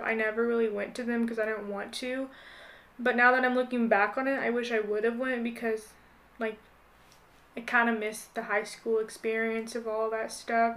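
A woman's voice: fairly high pitch, fairly bright tone. A young American woman in her late teens, chatting casually as a podcast guest in a hesitant, rambling way with lots of "like". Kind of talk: casual chat.

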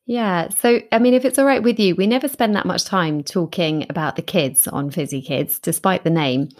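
A woman's voice: on the low side, normal speed, darkish tone. A woman hosting a podcast interview, asking encouraging questions and teasing her guest in a friendly way. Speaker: podcast host.

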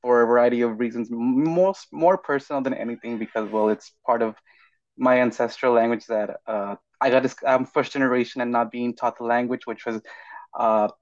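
A man raised bilingual in English and Spanish, giving measured, reflective answers as an interview guest. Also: medium pitch, steady tone, no echo. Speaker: podcast guest, language learner. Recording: dull, lo-fi voice recording.

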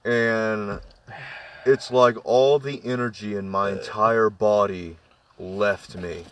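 A man with a deep voice telling a spooky personal story to friends, halting with many fillers and restarts. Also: fairly even intonation, fairly bright tone, far from the mic, slight background noise. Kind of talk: casual ghost story among friends.

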